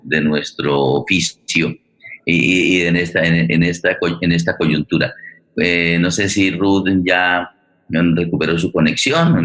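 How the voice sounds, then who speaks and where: A man hosts a live online forum in a low, forward, bright voice. He talks conversationally and haltingly, repeating phrases as he goes. The sound is echoey, like a reflective room heard over a stream. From Colombia. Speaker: forum host.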